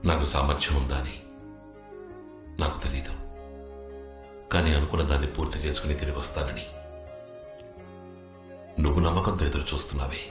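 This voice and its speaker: Casting: laconic, grave action-film agent, extremely low voice, echoey sound. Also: male voice, steady tone, brisk, long pauses, music in the background. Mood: grave, tender. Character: stoic, terse male field agent and devoted husband. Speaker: film actor. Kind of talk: Telugu action film dialogue. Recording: echoey film audio, loud background score.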